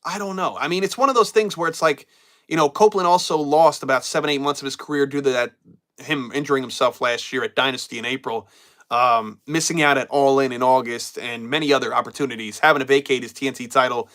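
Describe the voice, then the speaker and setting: Chatty man with a cold, bright voice. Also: mid-range voice, fairly even intonation, fast. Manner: casual, loose, repeats himself and trails off. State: getting over a cold, voice going. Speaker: wrestling show host. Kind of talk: live-stream chat, reading viewer comments.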